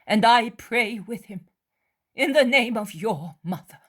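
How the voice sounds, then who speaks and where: A woman reading aloud who voices a gentle mother, pleading earnestly in a raised, breathy voice. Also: a little high, animated, a little slow, pitched above the performer's own voice, full character voice.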